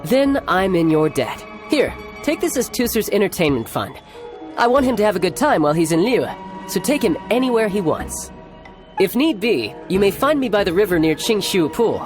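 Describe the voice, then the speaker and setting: A breezy young gentleman from a video game, self-assured and politely teasing. His voice is very expressive, with music behind it. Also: high-pitched, normal speed. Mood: warm. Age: young adult. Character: breezy, smooth-talking young gentleman, doting older brother. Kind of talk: scripted video game character acting.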